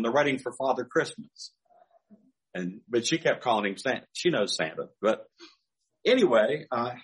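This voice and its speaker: A man's voice: on the high side, fairly bright tone, low-quality recording. An older man, a pastor, preaching a sermon through folksy personal stories with chuckling asides.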